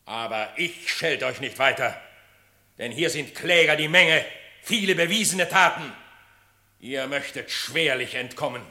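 A man's voice: fairly high pitch, unhurried, bright, forceful tone, echoey room, full character voice. An actor playing a stern, wrathful animal king, commanding and declamatory, in a verse radio play. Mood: stern, accusing.

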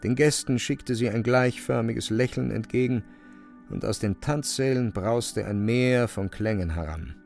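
A man's voice: mid-range voice, unhurried, gravelly, darkish tone, faint music behind. A man narrating a literary radio play, telling the scene as a scripted story.

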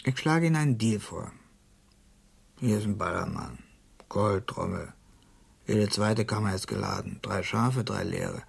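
An actor playing a brash, slangy tempter who pushes a deadly deal. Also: male voice, fairly low pitch, a little slow, gravelly, long pauses, slightly rough, exaggerated voice. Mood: menacing. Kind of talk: radio play acting.

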